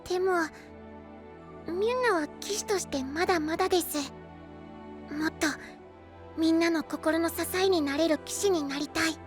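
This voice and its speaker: Very high-pitched earnest boy knight. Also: moderate pace, long pauses, over music. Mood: anxious, earnest. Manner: polite, soft, childlike, earnest speech. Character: earnest boy knight, young, polite, gentle, devoted to homeland. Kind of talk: anime-style voice drama acting.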